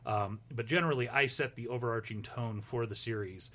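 A man's voice: mid-range voice, brisk, pushed and bright. A man in his forties, a showrunner talking as a guest in a radio studio, with a dry, teasing sense of humor. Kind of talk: casual radio interview.

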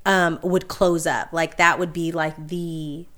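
Woman, fairly low voice, candid, reflective, casual podcast chat. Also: flat delivery, slow, a bit bright. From Puerto Rico. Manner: candid, reflective, laughs easily. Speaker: podcast guest.

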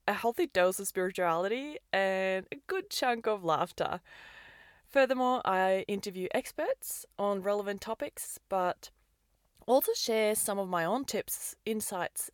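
A woman's voice: mid-range voice, clear, resonant tone, no room sound, clean studio sound. Upbeat woman hosting a podcast. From Norway. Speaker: podcast host and business coach. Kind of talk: scripted podcast monologue.

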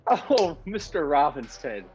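An actor playing a timid, henpecked little husband in an old-time radio comedy, stammering and fussily polite. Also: male voice, on the high side, big pitch swings, slow, darkish tone, husky, exaggerated voice. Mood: grateful, awed. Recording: dull lo-fi audio with music behind.

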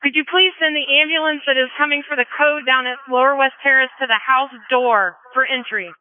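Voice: high voice, fairly even intonation, brisk, dry recording. A police officer making terse requests to dispatch over a narrow radio channel. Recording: thin phone-like police radio.

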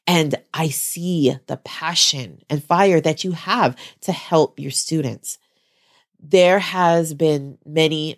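A woman hosting her own podcast as a special education teacher, talking to listeners in a chatty, encouraging way while stuffed up from the flu. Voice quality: lower, congested voice. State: stuffed up, getting over the flu. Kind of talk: solo podcast talk.

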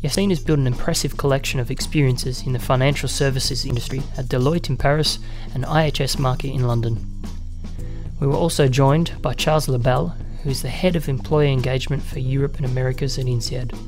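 A man's voice: mid-range voice, steady tone, brisk, music in the background. A man hosting a podcast who introduces the episode and then asks his guests friendly questions. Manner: friendly, prompts guests with short acknowledgements. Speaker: podcast host. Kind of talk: scripted intro then casual interview questions.

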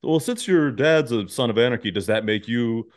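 A man's voice: mid-range voice, lively intonation, moderate pace. A man hosting a podcast in casual, joking conversation, rambling along with goofy enthusiasm. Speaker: podcast host.

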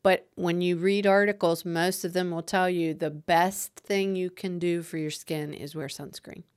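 Smooth-voiced woman in her sixties. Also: on the low side, steady tone, moderate pace. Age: 60s. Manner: thoughtful, conversational, trails off and restarts. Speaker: podcast co-host. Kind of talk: casual chat.

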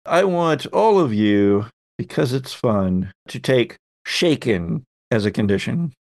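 A man's voice: medium pitch, very animated, slow pace, gravelly, darkish tone, dry recording. A man narrating a tabletop game as its game master, setting scenes in descriptive detail.

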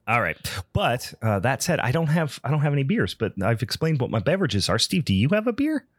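A man's voice: mid-range voice, very animated, a little fast, dry recording, clean studio sound. A man co-hosting a podcast, in a slangy, jokey drawl. He says he is feeling a bit under the weather. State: feeling unwell. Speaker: podcast co-host. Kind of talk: casual chat.

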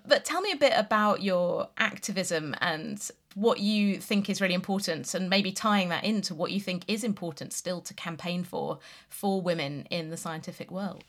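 A woman hosting an interview, asking conversational questions in a chatty way and growing animated when she makes a point. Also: on the low side, moderate pace. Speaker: podcast interviewer.

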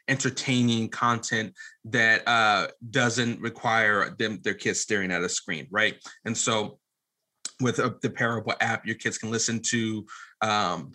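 A man in his late twenties with a bright, forceful voice at an ordinary male pitch. He talks as an interview guest explaining his work, emphatic and halting, often doubling back to repeat the start of a phrase. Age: late 20s. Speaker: podcast guest, media app founder. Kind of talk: conversational interview answer, explaining a venture.

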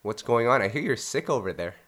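A man's voice: medium pitch, expressive, brisk, a bit bright. A Canadian man hosting a podcast, congested and a bit nasal from illness, easygoing and laughing often. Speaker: podcast host. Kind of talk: casual chat.

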